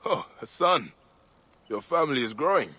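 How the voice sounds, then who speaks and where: A man acting a grim but kind Egyptian warrior and protector in a video game, speaking in a measured, formal way. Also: fairly high pitch, lively intonation, pushed and bright, slightly rough, heavily acted. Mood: warm.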